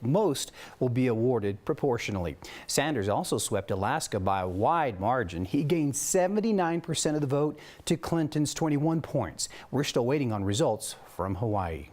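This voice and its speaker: A man's voice: medium pitch, darkish tone. A man with an American accent anchoring a TV newscast, reading scripted stories and chatting lightly between them. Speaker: TV news anchor.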